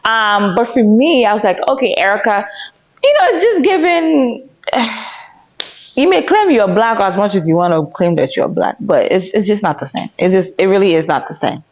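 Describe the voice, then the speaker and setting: An American woman co-hosting a podcast, chatting casually with strong opinions in an animated, slangy way full of rhetorical questions. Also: medium pitch, normal speed. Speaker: podcast co-host. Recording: dull, lo-fi podcast audio.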